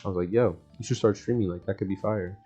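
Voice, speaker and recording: Low-voiced young man, casual and slangy. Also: steady tone, quick pace, dark tone, breathy edge. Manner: rambling and repetitive, often chuckling mid-sentence. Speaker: vlogger giving self-help talk. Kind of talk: casual, slangy motivational chat to the audience. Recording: dull, lo-fi sound with music underneath. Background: background music.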